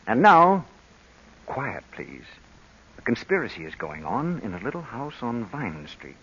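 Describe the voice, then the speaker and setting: A man's voice: medium pitch, huge pitch swings, measured pace, a bit bright, a little hiss or hum. A man announcing an old-time radio show and reading sponsor copy in a formal period style. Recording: dull vintage radio broadcast recording.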